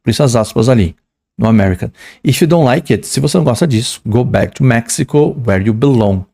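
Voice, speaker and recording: A man's voice: medium pitch, expressive, a little fast, vocal fry, mellow. A young adult man with a São Paulo accent teaching an English exam lesson, chatty and slangy, reading English lines and translating them. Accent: São Paulo Portuguese. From São Paulo, Brazil.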